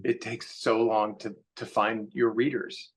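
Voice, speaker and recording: A man's voice: on the low side, level tone, measured pace, husky. A man talking casually and reflectively as an author guest in an interview.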